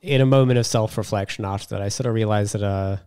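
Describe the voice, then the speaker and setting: A man hoarse and raspy with a cold, chatting casually as a podcast co-host in a sweary, self-deprecating way. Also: on the low side, fairly even intonation, fast, warm tone, no echo, studio quality. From a subtropical island. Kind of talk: casual chat.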